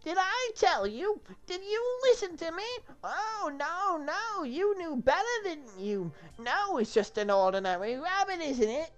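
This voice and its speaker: Someone putting on a high, nagging wizard. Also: sing-song, dull audio, soft background music, exaggerated voice. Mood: scolding. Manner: nagging, drawn-out, high put-on voice. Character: scolding, told-you-so male wizard.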